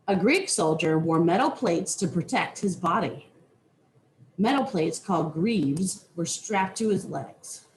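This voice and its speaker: A woman reading a children's nonfiction book aloud with deliberate, teacherly phrasing. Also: mid-range voice, a little mellow, a little hiss or hum, rough recording. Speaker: reader.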